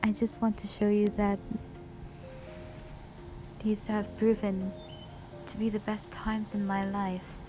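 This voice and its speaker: A devoted, wistful dragon wife, acted by a woman in a romantic roleplay drama. She speaks slowly and tenderly at a medium female pitch, with old-fashioned, formal phrasing. Music plays clearly underneath her voice. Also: level tone, lightly acted. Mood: tender, happy.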